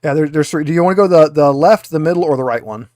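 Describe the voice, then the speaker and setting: Casual male game master, slightly high voice. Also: fast, darkish tone, no room sound, clean studio sound. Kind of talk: running a tabletop roleplaying game, casual.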